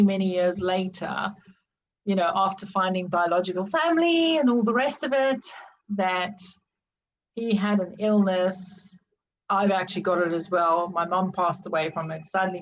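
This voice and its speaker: Middle aged south african lady telling her life story on a podcast, reflective, bit dull lo-fi sound. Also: lowish voice. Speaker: podcast guest. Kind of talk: personal life-story interview.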